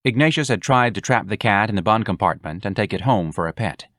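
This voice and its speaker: A man reading an audiobook in a measured, even storytelling manner. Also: fairly low pitch, no echo, studio quality. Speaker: audiobook narrator. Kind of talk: scripted audiobook narration.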